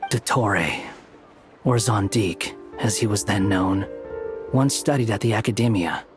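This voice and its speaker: A man's voice: fairly low pitch, measured pace, lightly acted. A man playing a curt, sardonic scholar. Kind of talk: game cutscene voice acting. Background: game soundtrack music.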